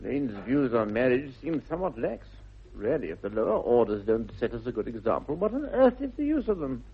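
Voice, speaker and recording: Flippant upper-class English bachelor, animated. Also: male voice, mid-range voice, fairly quick, breathy edge, dull audio, full character voice. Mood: amused, teasing. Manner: languid, arch, epigrammatic. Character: flippant young upper-class Victorian bachelor, witty and self-indulgent. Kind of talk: 1960s British radio comedy acting. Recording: thin, band-limited old radio recording.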